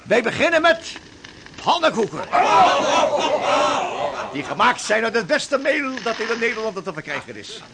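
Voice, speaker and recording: A ship's skipper in a radio drama, rallying stranded sailors with theatrical flair. The voice is very high and lively, with halting repetitions. Clear noise runs through the recording. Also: male voice, exaggerated voice. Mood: merry storytelling. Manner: hesitant, repeating words, then theatrical storytelling. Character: animated ship's skipper rallying his stranded men with fantasy. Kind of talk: Dutch radio drama acting.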